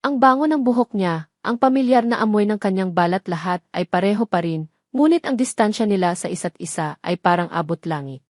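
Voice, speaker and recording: A woman's voice: mid-range voice, expressive, brisk, no room sound. Solemn woman narrator, very dry sound. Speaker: story narrator. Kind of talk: dramatic scripted romance narration in audio-drama manner.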